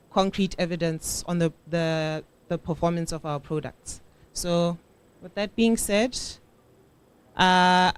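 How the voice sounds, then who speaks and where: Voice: medium pitch, clean tone. A young entrepreneur from Botswana presents their business at a conference, hesitating often with fillers. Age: young adult.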